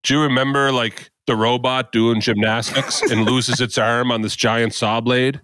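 A middle-aged man co-hosting a podcast, telling nostalgic stories in a casual, animated chat. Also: mid-range voice, moderate pace, dry recording. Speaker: podcast co-host.

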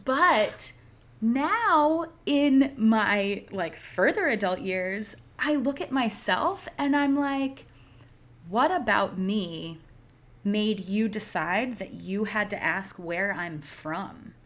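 A woman's voice: medium pitch, expressive, takes it slowly, fairly bright tone. A young adult woman from outside Philadelphia, co-hosting a podcast and telling candid, emphatic stories in casual chat.

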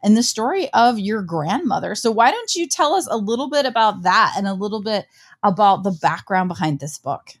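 A woman's voice: mid-range voice, big pitch swings, a little fast, husky. A woman hosting an interview, asking about a book and reflecting in a conversational way. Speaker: interviewer, host.

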